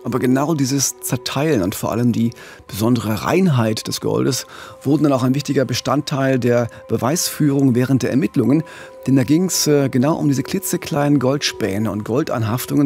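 A middle-aged man narrates conversationally as a true-crime podcast host. His voice sits at an average pitch with a gravelly edge. He is close on a studio mic with faint music behind him. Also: a little fast, darkish tone. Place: small radio studio. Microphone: studio microphone with pop filter, headphones.

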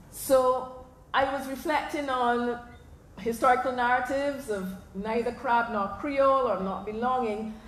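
A Barbadian woman giving a public lecture into a stand mic on a hall stage, animated, slipping into local dialect at times. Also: fairly high pitch, a little hiss or hum. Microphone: stand mic, filmed from the audience. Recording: echoey hall, faint ambience.

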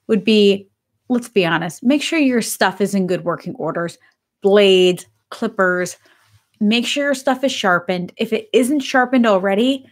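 A woman in her thirties, slightly hoarse, talking to a webcam in her home office. She is chatty and upbeat, as if talking to viewers in a live stream. Her pitch sits in the middle range. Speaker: pet grooming business host. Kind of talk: casual live-stream talk. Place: home office with bookshelves, indoors.

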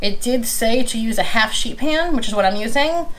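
A woman's voice: on the high side, normal speed, slight background noise. A woman in her thirties hosting a home cooking show, talking casually through each step in her kitchen. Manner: relaxed and chatty, with frequent "um" and "we're gonna" as she works. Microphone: fixed camera across the kitchen counter. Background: clinking dishes and utensils.

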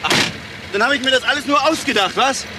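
Jealous male lover, crime play: accusing, rapid, sarcastic, noisy car ambience. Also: extremely high pitch. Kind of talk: German crime radio drama acting.

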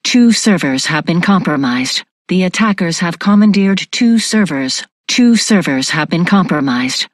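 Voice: mid-range voice, expressive, unhurried, hardly any pauses, dry recording. An actor voicing an impersonal computer announcer for a futuristic military facility in a video game, clipped, formal and emotionless. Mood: impersonal, alert.

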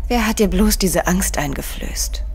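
A woman's voice: lowish voice, animated, unhurried, gravelly, a little hiss or hum. An actress playing an investigator's tender, direct female companion in a crime audio drama, speaking in an intimate way.

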